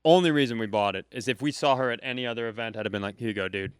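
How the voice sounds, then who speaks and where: An American man co-hosting a comedy podcast, telling anecdotes casually in an animated, exasperated, sweary way. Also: mid-range voice, racing, clean tone. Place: live at a comedy club.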